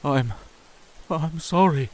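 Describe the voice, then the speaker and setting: A man playing a defensive demon thug, blunt and halting, in an audio comic dub. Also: fairly high pitch, darkish tone. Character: gruff, defensive male demon thug, famous ex-fighter. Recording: background music. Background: music.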